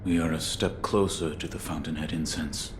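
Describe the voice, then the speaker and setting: Very deep, grim, loyal shinobi. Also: male voice, fairly even intonation, moderate pace, background noise. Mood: solemn, resolute. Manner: terse, formal, solemn. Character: stoic adult male shinobi, loyal and grim. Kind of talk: dramatic game cutscene acting.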